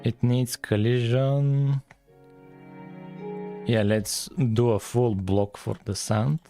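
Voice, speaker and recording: A man's voice: mid-range voice, fairly even intonation, takes it slowly, dark tone, soft background music. A man from Bulgaria with a Bulgarian accent, chatting casually and thinking aloud as he livestreams his coding. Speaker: live streamer, game developer.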